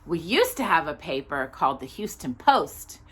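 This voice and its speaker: A woman's voice: low-pitched, sing-song, normal speed, husky. An American woman from Texas reading a nonfiction children's book aloud as a storytime host, with chatty, informal asides. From Texas, United States. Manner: chatty asides, stumbles over longer words.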